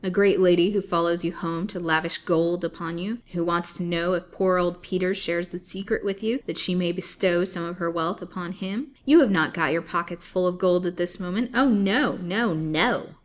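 Woman acting a loud, vicious old husband. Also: fairly low pitch, a little fast, few pauses, lightly acted. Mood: mocking, threatening. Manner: mocking, snapping, threatening. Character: vicious greedy old husband, snarling and sarcastic.

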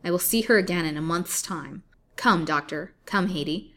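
A woman reading the lines of a scheming male villain, speaking smoothly and commandingly. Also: on the low side, normal speed, close to an everyday voice. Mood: angry.

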